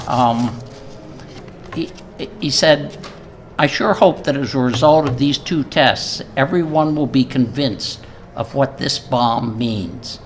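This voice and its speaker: An older man gives an informal speech and reads aloud from a letter, halting and repeating words at times. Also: medium pitch. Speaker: speaker at a memorial event. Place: live event before an audience. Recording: live event recording with noticeable background noise.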